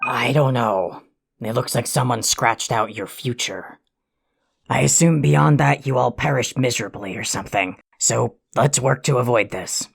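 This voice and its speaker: An actor performs a cranky alien troll for an audio drama. The voice is gravelly and full of creak. The delivery is bossy, pompous and scathing, like someone forever fed up. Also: mid-range voice, unhurried. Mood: bossy, grim. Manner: ranting, insulting, pompously wordy. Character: irritable, foul-mouthed, bossy alien troll, self-important.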